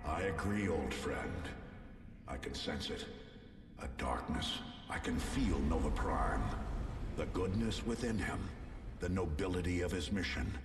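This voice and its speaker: A man playing a noble, heroic robot leader in a video game, solemn and measured in his commands. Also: low-pitched, background noise. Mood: grave, solemn. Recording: distant, echoing hall, music behind.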